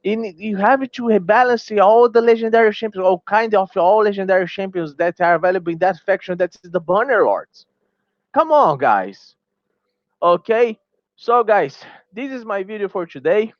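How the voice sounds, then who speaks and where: A man's voice: high voice, expressive, moderate pace, no room sound, muffled recording. Portuguese-native man, heated gaming rant. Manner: heated, repeats himself, many restarts. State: tired. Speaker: small gaming YouTuber. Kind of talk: opinion vlog commentary.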